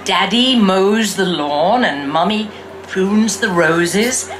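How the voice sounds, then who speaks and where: Woman voicing an animated little fairy princess girl. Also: medium pitch, a little hiss or hum. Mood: bright, self-assured. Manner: expressive, theatrical, drawn-out emphasis. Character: exuberant young girl who fancies herself a fairy princess. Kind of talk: first-person storybook read-aloud.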